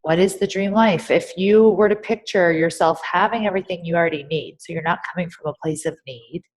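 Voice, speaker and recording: Encouraging woman coach, low-pitched voice. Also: brisk, rough recording. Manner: encouraging, asks probing questions. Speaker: podcast host and business coach. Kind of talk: coaching conversation.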